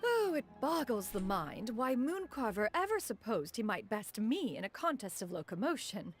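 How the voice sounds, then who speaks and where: A woman's voice: a little high, very animated, normal speed. A woman voicing a proud, formal crane spirit and inventor who calls herself "one" and speaks in stiff, old-fashioned phrasing, in a video game. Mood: haughty formal. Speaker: master. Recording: game audio with music behind.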